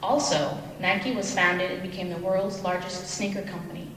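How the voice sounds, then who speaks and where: A woman's voice: on the low side, steady tone, moderate pace, a bit bright, a little hiss or hum. A woman reading a prepared speech formally to an audience, heard from a distant mic in an echoey hall. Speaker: student presenter.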